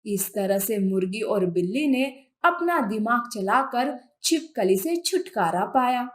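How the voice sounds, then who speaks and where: Female narrator for children's fables: high pitch, very slow, measured delivery. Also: lively intonation. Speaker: storyteller.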